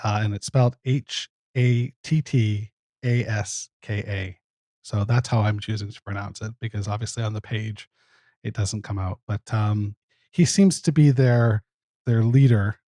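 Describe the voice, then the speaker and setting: Relaxed mellow guy as podcast guest, chatting casually, dry recording. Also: lowish voice, measured pace, dry recording.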